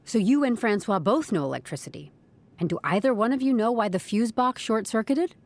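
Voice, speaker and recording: An actress playing a tactful but persistent HR investigator, asking careful questions in a scripted audio drama. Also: female voice, fairly high pitch, lively intonation, fairly quick. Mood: curious, insistent. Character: probing, tactful female HR investigator, quietly persistent.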